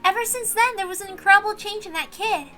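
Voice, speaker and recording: Woman as an older servant, high bright voice, kindly, knowing, anecdotal, visual novel character, music behind. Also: fast. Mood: fondly amused. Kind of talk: expressive visual novel read-aloud.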